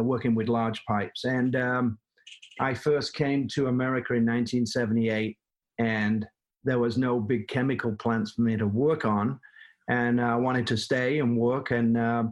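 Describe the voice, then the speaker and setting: Older man with a Manchester accent. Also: medium pitch. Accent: Manchester English. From England. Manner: rambling, with many fillers and restarts. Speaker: guest, former plumbing business owner. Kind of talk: casual interview storytelling.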